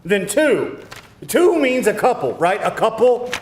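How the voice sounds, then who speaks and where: A man's voice: high-pitched, big pitch swings, unhurried, a little hiss or hum. A man playing a flustered, insecure boyfriend in a live screenplay table read, with an incredulous, emphatic delivery. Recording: echoey room, live reading.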